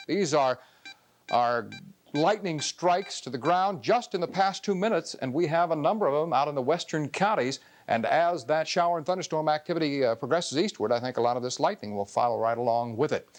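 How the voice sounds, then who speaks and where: A man's voice: fairly high pitch, normal speed. An American man giving an ad-libbed television weather forecast in a conversational, explanatory way.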